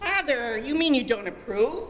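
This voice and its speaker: An actress playing a dreamy, melodramatic teenage daughter in love, in an old-time radio comedy. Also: female voice, high voice, lively intonation, moderate pace. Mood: indignant, wounded. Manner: gushing, earnest, dramatic. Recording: reverberant hall, faint noise.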